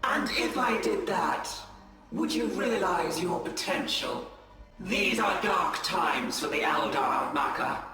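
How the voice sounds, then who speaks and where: A man playing a stern, ruthless alien warrior lord in a video game, speaking gravely and imperiously. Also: high-pitched, animated, slow, bright, forceful tone, exaggerated voice. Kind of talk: dramatic video game acting. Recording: very echoey, distant, music underneath.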